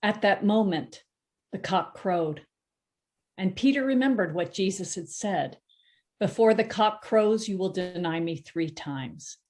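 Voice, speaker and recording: A woman's voice: medium pitch, animated, a little slow, darkish tone. A woman narrating a scripture passage in a church drama, reading expressively.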